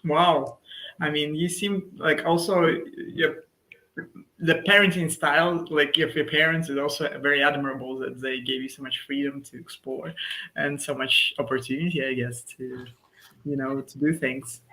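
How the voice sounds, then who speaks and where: A male interviewer with a fairly high and very bright voice, asking his questions haltingly and searching for the right words. He comes across as curious and careful. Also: fairly even intonation, poor audio. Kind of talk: casual interview.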